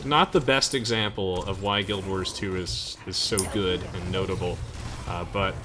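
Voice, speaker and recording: A man's voice: low voice, steady tone, normal speed, background noise. A young man giving casual, chatty gameplay commentary to friends, explaining things with hesitant trailing asides. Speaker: gamer hosting a let's play.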